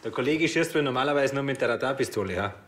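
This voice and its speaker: A man's voice: medium pitch, animated, racing, a bit bright, a little hiss or hum, natural voice. An actor playing a cocky, mocking sport marksman with a smug, teasing manner in a TV crime comedy.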